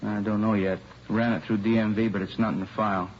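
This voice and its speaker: An actor playing a practical American police lieutenant in a 1950s radio drama, casual and businesslike. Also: male voice, fairly low pitch, little pitch movement, a little fast, natural voice. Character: plain-spoken, practical male police lieutenant. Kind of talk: 1950s radio drama acting, naturalistic underplayed dialogue. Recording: muffled, faintly noisy old radio recording.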